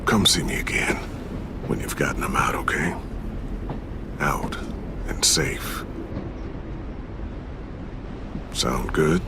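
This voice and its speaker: An actor playing a gentle fatherly mentor in a game, with an American accent, tender, reassuring and gravelly. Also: rumbling low, takes it slowly. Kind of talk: game voice acting, intimate dramatic dialogue. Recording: game audio with clear ambient noise.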